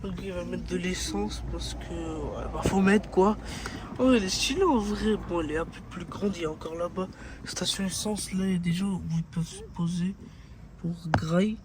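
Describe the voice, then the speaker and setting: A man's voice: high-pitched, normal speed, crowd noise. A young man born in Italy and raised in France, vlogging a family road trip in casual slangy chat, talking straight to his viewers. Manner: slangy, rambling, speaks to viewers directly. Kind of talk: casual travel vlog. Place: car and highway rest stop on a road trip.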